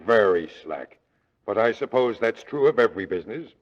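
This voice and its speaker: An actor playing a jolly morgue keeper in an old radio play, chuckling and folksy with ghoulish good cheer. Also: male voice, fairly low pitch, expressive, a little fast. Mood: ghoulishly jolly. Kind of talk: 1940s radio drama acting. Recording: dull old radio recording.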